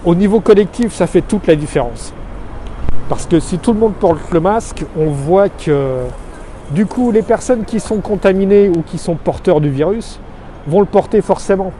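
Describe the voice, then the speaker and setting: A middle-aged man from Alsace, France, giving an earnest, measured street-side monologue full of figures, recorded outdoors on a city street. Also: sits high, dark tone. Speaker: expatriate vlogger. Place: outdoors on a city street below his office. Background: faint street and traffic noise.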